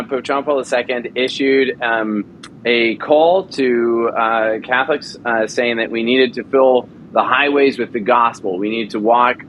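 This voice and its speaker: Upbeat male guest, faint rumble behind. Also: a bit bright, low-quality recording. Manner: enthusiastic storyteller with frequent fillers. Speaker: actor and audio drama producer. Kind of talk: casual interview chat.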